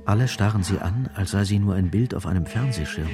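A man's voice: lowish voice, fairly quick. A man narrating a scripted radio drama, with music behind his voice.

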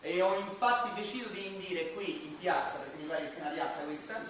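A man's voice: high-pitched, fairly quick, over a noisy crowd, lightly acted. A man acting an earnest, obsessive eccentric in a radio comedy, solemn and pedantic. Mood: musing. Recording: very echoey, distant, noisy.

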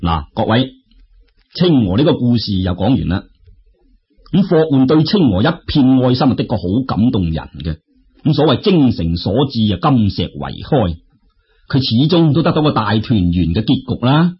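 A man telling folk tales as an expressive storyteller, in a conversational way that addresses listeners directly. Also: mid-range voice, moderate pace, slightly rough, lo-fi sound.

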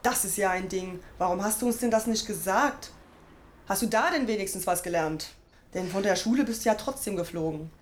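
A woman's voice: medium pitch, a little fast, slight background noise, close to an everyday voice. A woman playing a worried, caring mother in a radio play, with a fussing, admonishing manner. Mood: worried, reproachful. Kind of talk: German radio play acting.

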